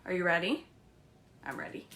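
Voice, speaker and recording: A woman children's librarian hosting a read-aloud story time, speaking gently and reassuringly in simple words meant for small children. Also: on the low side, moderate pace, creaky, noisy background. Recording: roomy, echoey livestream sound.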